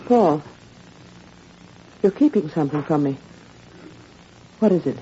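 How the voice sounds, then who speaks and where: A woman's voice: low voice, animated, a little slow, mellow. An actress playing a distraught wife and mother, imploring and melodramatic in 1940s radio style. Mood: worried. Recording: muffled, hissy 1940s radio recording.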